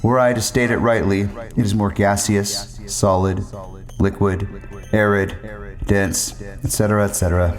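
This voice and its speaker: Guy w a lowish voice telling his own rambly wordy story, bit of music behind. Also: little pitch movement, moderate pace. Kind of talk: first-person storytelling narration.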